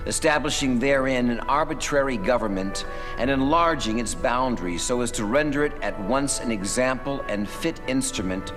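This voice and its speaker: A man reads a historical document in a solemn, formal way. His pitch is average and his delivery deliberate. The sound carries a long hall reverb, with clear music underneath. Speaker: reader. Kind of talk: dramatic reading of a historical document.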